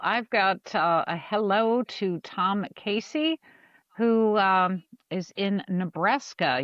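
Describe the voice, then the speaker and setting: A woman reads podcast shout-outs casually and slowly, as a co-host. The recording is somewhat dull and dry, with little room around her voice. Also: mid-range voice, no room sound.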